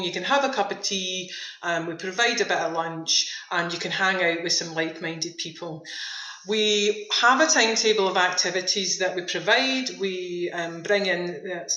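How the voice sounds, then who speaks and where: A woman talks as an interview guest, enthusiastic and conversational. Her voice is bright and crisp-edged at a middling pitch. The recording is roomy, with clear echo. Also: dull audio. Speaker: veterans' activity centre manager, interview guest. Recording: roomy, echoing sound.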